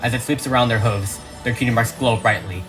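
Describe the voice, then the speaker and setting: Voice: medium pitch, brisk, a bit bright, soft background music, rough recording. A narrator reading a script aloud in a dramatic, emphatic, theatrical style.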